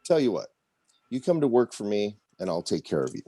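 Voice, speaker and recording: A man's voice: on the low side, big pitch swings, brisk, mellow, dry recording. A man playing a stately, craggy crime boss in his fifties, terse and matter-of-fact, in a screenplay table read. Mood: coolly persuasive.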